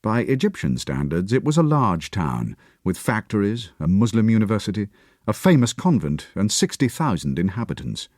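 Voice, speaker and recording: Expressive male audiobook narrator. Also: on the low side, dry recording, studio quality. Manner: measured, expressive storytelling. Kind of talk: scripted narration.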